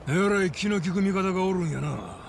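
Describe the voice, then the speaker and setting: Very gravelly Kansai yakuza boss. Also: male voice, high voice, fairly quick, slight background noise. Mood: wry, gruff. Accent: Japanese Kansai. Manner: wry, gruff, unflappable. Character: grizzled, stoic male yakuza boss, middle-aged.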